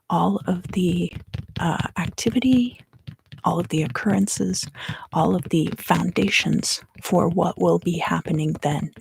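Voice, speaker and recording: A woman speaks in a low, husky hush with some creak, often slipping into a whisper. She gives an unhurried ASMR tarot reading in short, explanatory phrases. The sound is dry and intimate, right up on the microphone. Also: dry recording, low-quality recording. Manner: soft-spoken, often dropping into whispers. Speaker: tarot reader and ASMR host. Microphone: close microphone.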